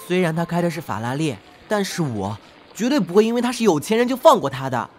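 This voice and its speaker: A man's voice: a little high, very animated, fast talker, darkish tone, soft background music. A young man playing an earnest, easily flustered male traffic officer in an audio drama, prone to stammering. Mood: indignant.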